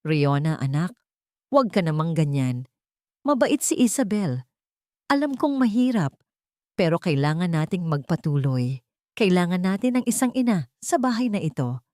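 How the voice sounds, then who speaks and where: A woman's voice: lowish voice, sing-song, normal speed, long pauses, darkish tone, no room sound, studio quality. A woman playing a tired, earnest and pleading widowed father in a narrated romance story. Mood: weary pleading. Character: weary, earnest widowed father, wealthy businessman.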